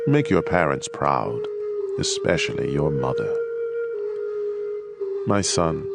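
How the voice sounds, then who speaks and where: A man's voice: rumbling low, lively intonation, slow pace, gravelly, full character voice. An actor playing a fatherly teacher counseling his children in a dramatized, instructive scripture reading. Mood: earnest. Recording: music playing behind the voice. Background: instrumental music.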